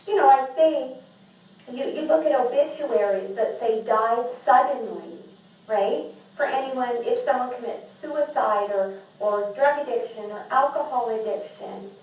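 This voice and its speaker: A woman talks openly as a podcast guest. She sounds earnest and often restarts her sentences with repeated words. Her voice sits a little high and comes from away from the mic, in a strongly echoing room. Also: a little mellow. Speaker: podcast guest and memoir author. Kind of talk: candid conversational interview. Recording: echoey room, distant mic.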